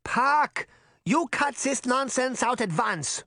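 A man's voice: sits high, big pitch swings, normal speed, slightly rough, dry recording, studio quality, pitched above the performer's own voice, heavily acted. A man voicing a firm, exasperated elderly grandmother in a children's fantasy audiobook, scolding and imploring. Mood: stern.

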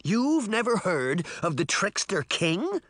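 A man's voice: mid-range voice, big pitch swings, unhurried, gravelly, pushed and bright, dry recording, clean studio sound, exaggerated voice. A man voicing a boastful, indignant fairy trickster boy in a theatrical, crowing way for an audiobook. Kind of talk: audiobook character voice.

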